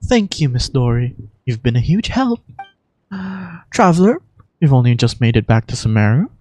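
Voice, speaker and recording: A woman voicing a calm, courteous and firm female village guardian in game dialogue, speaking in a composed, measured way. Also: rumbling low, voice pushed down, exaggerated voice. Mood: gracious. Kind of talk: fan voice-over of video game dialogue.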